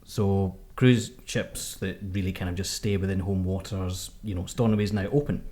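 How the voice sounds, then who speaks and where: A man's voice: deep voice, fairly even intonation, moderate pace, warm tone. Jokey Scottish man co-hosting a podcast. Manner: jokey, enthusiastic, fond of hyperbole. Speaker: podcast co-host, cruise editor. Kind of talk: casual podcast chat.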